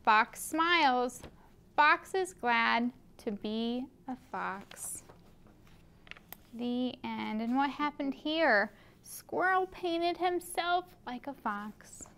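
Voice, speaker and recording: A woman's voice: high voice, lively intonation, extremely slow, sharp tone, smooth. A young woman hosting a children's library story time, reading a picture book aloud and putting questions to small listeners. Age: young adult. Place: carpeted library children's room, indoors. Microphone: camera a few feet away.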